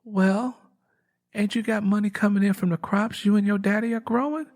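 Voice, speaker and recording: Man acting high-voiced, worried country character. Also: steady tone, moderate pace, a little mellow, close to an everyday voice. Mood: questioning. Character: worried, protesting country friend of a poor farmer. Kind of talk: audio drama storytelling.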